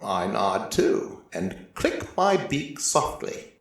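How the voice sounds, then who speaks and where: A man narrating a first-person story in a measured, scripted storytelling style. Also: fairly low pitch, sing-song, noisy background. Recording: roomy, echoing voice recording.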